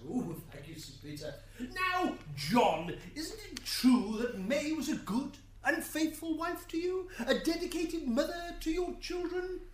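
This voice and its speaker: A woman's voice: sits high, expressive, a little slow, hardly any pauses, a little hiss or hum. A woman playing a courteous, slightly flustered and wry defence lawyer in a radio drama. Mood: courteous, probing. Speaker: defence advocate.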